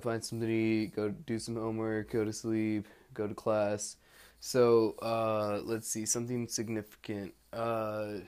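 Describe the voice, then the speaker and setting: A man's voice: on the low side, darkish tone. A young man recording a casual audio diary while studying abroad, meandering and candid, with lots of fillers and restarts. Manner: laid-back and candid. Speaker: exchange student keeping an audio diary. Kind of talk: rambling personal audio log. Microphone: handheld recorder held close.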